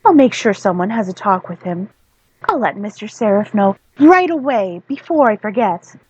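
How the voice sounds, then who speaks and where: A woman's voice: medium pitch, lively intonation, moderate pace, darkish tone, breathy edge, no echo, lo-fi sound, pitched above the performer's own voice. A woman voicing a friendly, apologetic schoolteacher with an effusive, gushing manner in a story reading.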